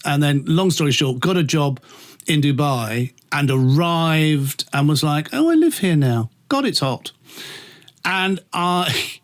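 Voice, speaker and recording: A middle-aged British man chatting in a camp, theatrical way, telling anecdotes and acting out the dialogue as he goes. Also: fairly high pitch. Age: middle-aged or older. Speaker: radio presenter, interview guest. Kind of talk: animated anecdotal chat.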